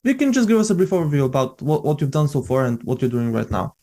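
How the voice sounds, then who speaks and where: A man's voice: a little high, very animated, fast talker, dark tone. A man hosting a conversational interview. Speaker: podcast host, interviewer.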